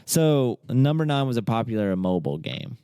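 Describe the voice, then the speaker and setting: A man's voice: medium pitch, very animated, moderate pace, warm tone, clear, resonant tone, dry recording, clean studio sound. A man in his thirties hosting a podcast in casual conversation, running a quiz for his co-host. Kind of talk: casual chat, quizzing a co-host.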